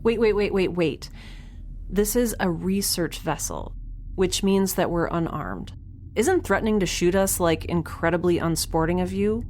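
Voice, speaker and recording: A woman acts a young lone spacefarer in a sci-fi audio drama. Her voice sits slightly low, and she talks in a casual, wry way with flustered hesitations. A faint noise sits behind her. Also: unhurried, darkish tone, close to an everyday voice. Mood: wry, bluffing. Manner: casual, sarcastic, hesitant, bluffing. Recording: faint ship ambience noise.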